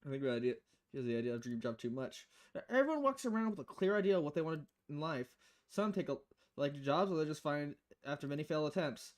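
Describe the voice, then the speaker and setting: A woman's voice: deep voice, lively intonation, fast, voice pushed down. A woman voicing a laid-back bartender giving advice in a visual novel. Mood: thoughtful. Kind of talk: visual novel dialogue read aloud.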